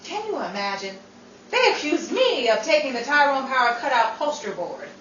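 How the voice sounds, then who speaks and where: Woman as high-voiced breezy 1940s radio gal. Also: big pitch swings, moderate pace, a bit bright, far from the mic, highs cut off. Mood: playfully dramatic. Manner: playful, theatrical. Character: breezy, quick-witted 1940s radio actress Betty, teasing her friend. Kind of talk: 1940s radio comedy acting. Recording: noisy, echoey room, off the mic.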